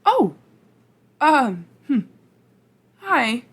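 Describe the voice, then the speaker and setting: A woman playing a flustered, awkward, chatty time traveler from the future in an ASMR roleplay, halting and rambling as she speaks to the listener. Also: high-pitched, sing-song, husky, heavily acted. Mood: awkward, sheepish.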